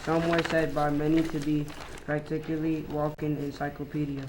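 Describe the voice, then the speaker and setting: A young teenage boy reads aloud as a student in a presentation. His voice is fairly high and moves little in pitch. There is slight noise in the recording. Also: completely flat delivery, moderate pace. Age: young teen, middle school student. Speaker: student reader. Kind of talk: dramatic reading aloud.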